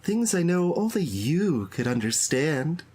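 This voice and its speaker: A man voicing an earnest, clingy teenage schoolgirl from a visual novel, gentle and confiding in a soft, lifted voice. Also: on the high side, sing-song, measured pace, slightly rough, exaggerated voice. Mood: apologetic, confiding.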